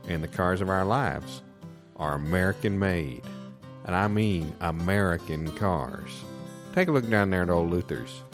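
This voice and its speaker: A man's voice: low voice, lightly acted. A man playing a folksy, indignant used car dealer delivering a pompous, patriotic local advertisement. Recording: guitar music behind. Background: plucked guitar music.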